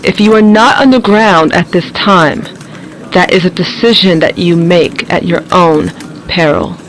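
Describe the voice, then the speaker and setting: An actor playing a grave, stern announcer giving emergency instructions in an audio drama. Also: male voice, high voice, measured pace, a bit bright, a little hiss or hum, rough recording.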